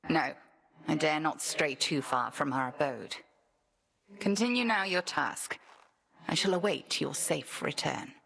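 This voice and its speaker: An actress playing a stern, dignified headmistress in a video game, speaking formally with measured, archaic diction. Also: female voice, low voice, creaky, fairly bright tone, exaggerated voice. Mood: solemn, measured.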